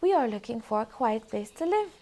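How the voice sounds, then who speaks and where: A woman voicing a trio of small, restless, noisy foxes in a storybook, talking loud and high. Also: sing-song, fairly quick. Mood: excited.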